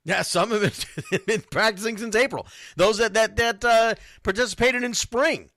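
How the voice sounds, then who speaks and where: Bright, forceful man hosting sports talk. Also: high-pitched, moderate pace, no room sound, clean studio sound. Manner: blunt, emphatic, repeats phrases for stress. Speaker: youth football radio show host. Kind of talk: informal sports talk monologue.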